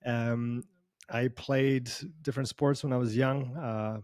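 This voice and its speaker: A man's voice: mid-range voice, steady tone, slow, darkish tone, dry recording. A man from Switzerland with a Swiss accent that carries a slight Canadian sound, telling his story as a guest in a casual online interview, rambling along with many fillers. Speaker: performance coach guest. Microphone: video call.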